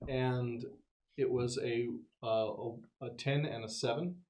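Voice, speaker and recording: A man with a medium-pitched voice talks casually as a player at a game table. Faint noise and clicking sit behind his voice. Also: fairly even intonation, slow, a little mellow.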